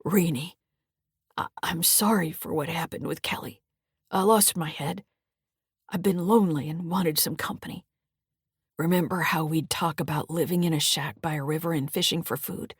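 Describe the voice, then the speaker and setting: A woman voicing a dying older man who is remorseful and pleading, in a deep, gravelly voice that drops to a half-whisper. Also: normal speed, no echo, clean studio sound. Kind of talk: audiobook character voice.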